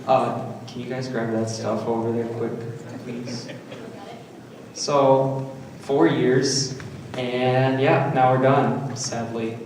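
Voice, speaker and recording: A man's voice: medium pitch, little pitch movement, slow, darkish tone. A man making informal remarks to a group. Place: large indoor hall at a live event. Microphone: distant event microphone. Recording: very echoey, noisy hall from far off.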